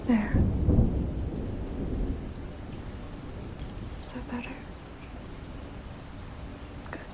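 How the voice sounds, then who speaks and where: A woman voicing a gently protective, motherly vampire in a soft, breathy ASMR whisper, casual and soothing. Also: natural voice. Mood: caring. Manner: hesitant, casual, soothing, unhurried.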